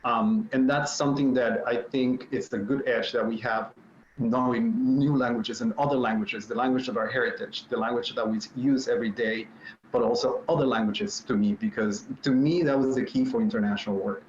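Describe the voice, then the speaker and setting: A man's voice: mid-range voice, brisk, slight background noise. Puerto Rican Spanish-accented man on a call. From Puerto Rico. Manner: relaxed storytelling with frequent ums. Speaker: panelist. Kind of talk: virtual panel talk. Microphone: video call mic. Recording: roomy, dull-toned home setup.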